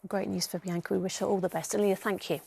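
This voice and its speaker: A woman anchoring a television news bulletin from the studio, with a gravelly texture in her voice. She links stories in a measured, conversational way. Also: mid-range voice, flat delivery, darkish tone. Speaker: news presenter.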